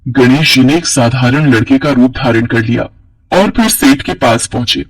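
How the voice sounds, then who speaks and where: A man's voice: mid-range voice, normal speed, rough recording. A man narrating a scripted cartoon story as a storyteller.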